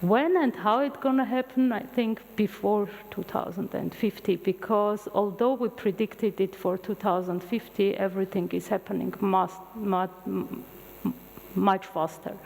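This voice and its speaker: A middle-aged woman whose first language is Armenian gives an open university lecture in English, telling stories with halting restarts. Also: mid-range voice, creaky. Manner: animated storytelling with halting restarts. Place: large lecture hall. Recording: long hall reverb.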